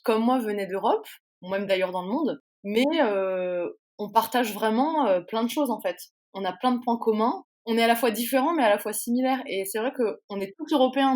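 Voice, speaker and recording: A woman in her twenties from France, an interview guest speaking earnestly and reflectively with fillers. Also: medium pitch. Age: 20s. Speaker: guest, student. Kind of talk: casual interview talk.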